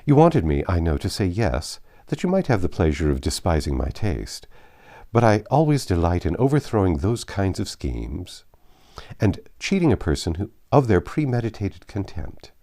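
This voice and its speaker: A man voicing a witty, spirited young gentlewoman in a storybook reading, with arch, formal phrasing. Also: low voice, sing-song, moderate pace. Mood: playfully defiant.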